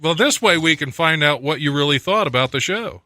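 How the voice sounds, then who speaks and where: A man hosting a talk radio show in a dry studio, reading quirky news and chuckling at his own asides. His voice is very bright and a little high for a man, with a lively, bouncing delivery. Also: brisk, no room sound. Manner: jokey, laughs often at his own asides. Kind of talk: casual chat and reading out odd news.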